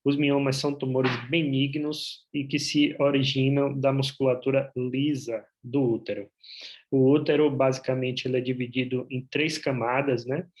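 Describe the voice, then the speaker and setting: A man from Bahia, a gynecologist with a Bahian accent, giving an explanatory talk over a video call, often pausing on "é". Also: mid-range voice, little pitch movement, highs cut off. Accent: Bahian Portuguese. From Bahia, Brazil. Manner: explanatory, with frequent "é" fillers. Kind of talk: online medical presentation.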